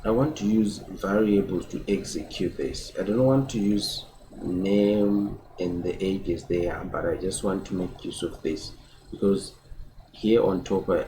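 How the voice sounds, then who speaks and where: Casual coding tutor in his twenties, slow deliberate delivery, audible typing in the background. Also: deep voice. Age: 20s. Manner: repeats words and adds "right?" often. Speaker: programming tutor. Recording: keyboard typing and room noise behind.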